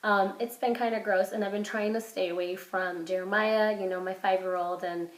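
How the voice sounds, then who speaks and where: A woman vlogging casually while sick with a cold, her voice hoarse and congested. She rambles with lots of fillers and restarts, keeping an upbeat, self-encouraging tone. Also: mid-range voice, fairly even intonation, normal speed. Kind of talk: casual vlog chat. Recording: roomy echo.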